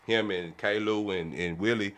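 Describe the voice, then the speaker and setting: A man's voice: fairly low pitch, measured pace, a bit bright. A man on a podcast panel talking casually and emphatically, in slangy, repetitive phrasing. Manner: emphatic, colloquial, repeats himself. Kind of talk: casual heated discussion.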